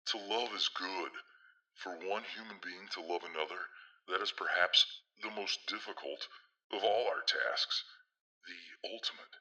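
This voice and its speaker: A man's voice: sits low, unhurried, bright, forceful tone, heavily acted, poor audio. A man playing a blunt, teasing, protective big brother in an audio drama, folksy and theatrical in his delivery. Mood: solemn, lyrical.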